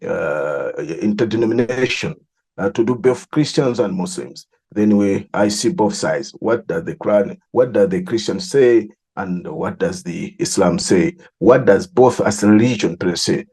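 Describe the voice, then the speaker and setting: A middle-aged man with a Malawian accent, explaining his work in an interview in a deliberate way, repeating phrases for emphasis. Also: lowish voice, a little mellow, husky. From southern Malawi. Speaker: religious leader and mediator interviewee.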